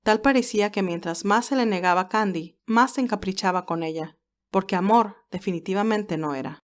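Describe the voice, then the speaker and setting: A woman narrates a scripted romantic story. Her voice sits at a medium pitch, and she reads as the storyteller between the characters' dialogue. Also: fairly quick.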